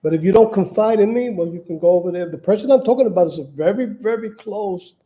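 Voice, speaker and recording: A man's voice: high voice, fast, highs cut off. A man from New York talking casually and unscripted to his viewers, often stumbling and repeating words as he hunts for what to say. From Manhattan, New York, United States. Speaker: channel host appealing for donations. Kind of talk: casual unscripted livestream talk.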